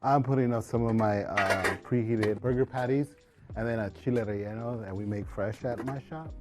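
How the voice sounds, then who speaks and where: A man's voice: lowish voice, normal speed. A man in his early forties, a chef, talking casually and explaining his cooking as a contestant. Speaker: restaurant owner and chef, contestant. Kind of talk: casual talk and cooking demonstration.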